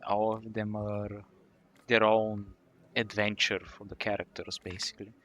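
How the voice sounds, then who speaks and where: A man's voice: fairly low pitch, monotone, moderate pace, a bit bright. A man speaking as the host and interviewer in a casual podcast conversation.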